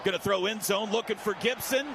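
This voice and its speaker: High-pitched American football radio announcer, man. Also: fairly quick, creaky. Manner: clipped, vivid, excitable calls. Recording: noisy stadium radio broadcast.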